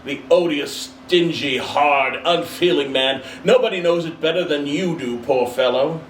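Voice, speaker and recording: A man's voice: fairly high pitch, a little slow, close to an everyday voice. Man playing an indignant wife, roomy sound. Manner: scornful, emphatic. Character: proud, indignant poor wife and mother. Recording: roomy, distant mic, faint background noise.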